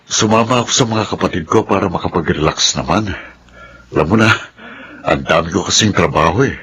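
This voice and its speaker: A genial middle-aged suitor with a low, deep voice. He talks gently and courteously, in Filipino radio drama style. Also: brisk. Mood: curious. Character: genial, smooth-talking old acquaintance, gently courting a widow.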